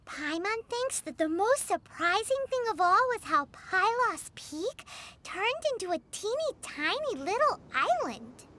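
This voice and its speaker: A woman's voice: very high-pitched, big pitch swings, unhurried, bright, forceful tone, a little hiss or hum, exaggerated voice. A woman voicing a tiny childlike fairy companion in a video game, cutesy, naive and curious. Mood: curious, pondering. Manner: cutesy, refers to self in third person. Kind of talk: video game character dialogue. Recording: music behind the voice. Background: music.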